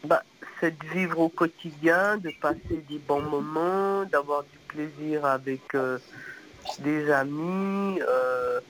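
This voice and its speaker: A woman's voice: sits low, takes it slowly. A woman in her seventies with a head cold, calling in and chatting candidly. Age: 70s. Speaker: caller. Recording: noisy phone line.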